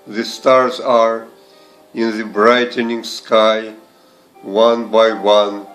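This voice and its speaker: Slow, even-voiced man reciting poetry over music. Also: lowish voice, low-quality recording. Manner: deliberate, with short phrases and pauses. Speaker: poetry reader and translator.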